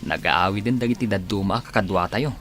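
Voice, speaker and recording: A man's voice: lowish voice, brisk, fairly bright tone, soft background music, lightly acted. A man playing a sincere, concerned young friend in an Ilocano radio drama.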